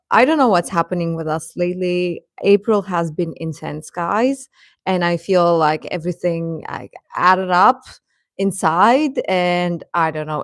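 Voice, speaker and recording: A woman's voice: fairly low pitch, no echo, studio quality. A woman hosting a livestream, chatting casually and informally with her viewers. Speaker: livestream host.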